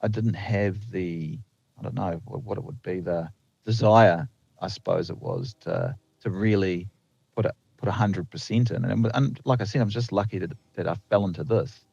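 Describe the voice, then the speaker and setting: A man's voice: low voice, expressive, moderate pace, gravelly, a little mellow, breathy edge, no room sound. A Dutch-born man with a slight New Zealand accent, a lineman chatting as a podcast guest, rambling with lots of fillers and restarts, in a slightly lo-fi recording. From Netherlands. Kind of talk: casual interview chat.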